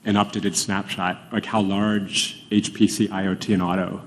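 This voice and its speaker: A man's voice: low-pitched, flat delivery, normal speed, slight background noise. A man asking an analyst's questions, unscripted, on an earnings call.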